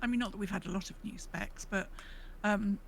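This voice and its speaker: A woman's voice: mid-range voice, a little fast, slight background noise. A woman chatting casually as a podcast co-host, rambling and thinking aloud. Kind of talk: casual gaming podcast chat.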